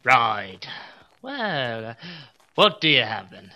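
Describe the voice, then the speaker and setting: An actor playing a pompous mad-scientist supervillain in a comedy audio drama, with grandiose theatrical delivery. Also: male voice, medium pitch, sing-song, slow, pushed and bright, exaggerated voice. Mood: baffled.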